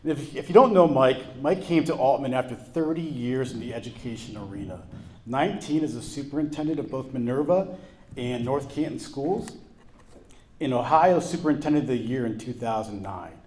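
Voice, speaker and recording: A man's voice: medium pitch, expressive, moderate pace, darkish tone. Male speaker promoting business events, upbeat and promotional, ordinary mid-range voice. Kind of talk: prepared remarks at a business breakfast. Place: event room at a college.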